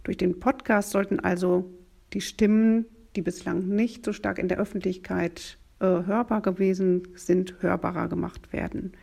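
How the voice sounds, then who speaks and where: A woman who leads a project, explaining it in a measured, formal way. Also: fairly low pitch, fairly even intonation, gravelly, dark tone, husky. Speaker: project leader.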